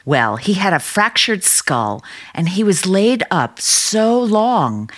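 A woman's voice: mid-range voice, sing-song, slow pace. An actress playing a doting, trusting middle-aged sister and small-town mother in an old-time radio drama, chatty and earnest. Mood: nostalgic.

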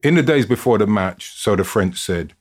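Husky, low-voiced man reading a story. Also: moderate pace. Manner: expressive, dramatic delivery with built-up lists. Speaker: reader, narrator of a written football story. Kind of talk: scripted narration, storytelling reading.

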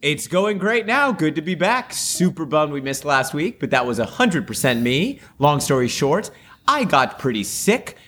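A man's voice: a little high, sing-song, normal speed, a bit bright, a little hiss or hum. A man co-hosting a podcast in casual chat, upbeat and rambling with lots of fillers, his voice still a little off after a sore throat. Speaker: podcast co-host.

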